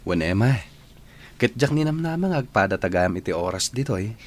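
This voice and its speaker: A man with an Ilocano accent playing an earnest, soft-spoken, lovelorn young trainee in a radio drama. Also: lowish voice, sing-song, moderate pace. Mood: tender.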